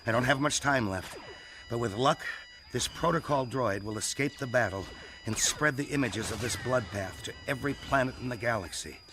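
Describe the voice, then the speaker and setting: A man's voice: mid-range voice, moderate pace, noisy background, natural voice. Weary, determined rebel leader played by a man. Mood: grim, urgent. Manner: earnest, firm, plain-spoken. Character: weary, determined middle-aged rebel leader and farmer father. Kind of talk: audio drama acting and narration.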